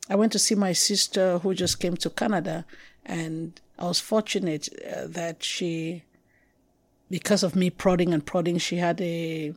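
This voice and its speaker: A woman's voice: fairly low pitch, dry recording. Reflective Cameroonian woman, close dry sound. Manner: reflective, halting, with restarts and slow emphatic stretches. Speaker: guest poet. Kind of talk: conversational interview.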